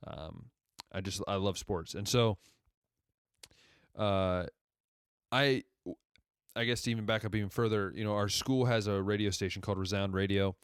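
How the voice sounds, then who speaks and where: A young man from Ohio in his twenties with a deep radio-style voice, hosting a casual solo podcast and rambling conversationally as he backtracks through his story. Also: fairly even intonation, normal speed, long pauses, smooth, no room sound, studio quality. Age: 20s. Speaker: podcast host.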